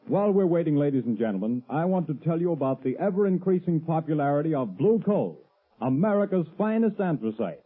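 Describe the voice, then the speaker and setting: A man working as a radio announcer, reading a sponsor's commercial in a polished, formal 1930s style. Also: fairly high pitch. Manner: polished, formal salesman delivery. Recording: muffled, narrow old radio recording.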